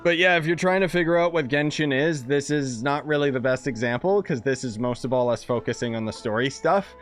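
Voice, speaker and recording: A man's voice: mid-range voice, fairly quick. A man chatting casually and informally to viewers as he streams a game, explaining things as he goes. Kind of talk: casual live-stream chat and game commentary. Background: game music.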